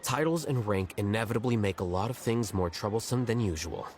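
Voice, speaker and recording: A man's voice: on the low side, noisy background, natural voice. A man playing a cool, aloof young scholar. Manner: dry, measured, matter-of-fact. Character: aloof young male scholar, blunt and detached.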